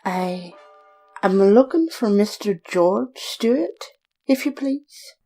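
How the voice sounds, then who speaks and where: Woman playing earnest meek young lady, polite, hesitant, slow, expressive pitch swings, old-time radio drama. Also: faint music behind. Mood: timid, polite. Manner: polite, timid, hesitant, formal. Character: meek, earnest, deferential young woman seeking a secretary job.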